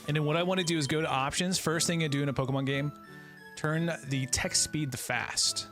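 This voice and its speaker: A man's voice: a little high, steady tone, brisk, a bit bright, soft background music. A man in his thirties playing a game on a live stream, chatting in a laid-back, friendly way and greeting viewers. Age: 30s. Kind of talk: casual live-stream chat while playing.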